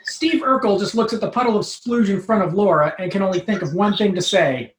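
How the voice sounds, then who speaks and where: A woman's voice: medium pitch, a little fast, soft background music. A woman reading a scripted comic story aloud as narrator. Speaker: troupe member reading own comic story. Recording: roomy, echoing live room.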